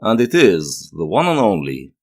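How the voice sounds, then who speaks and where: A man with a low voice narrates a scripted analytical essay. His pitch swings very widely as he gives his opinions in formal phrasing, like the host of a review series. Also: wildly swooping pitch, moderate pace, darkish tone.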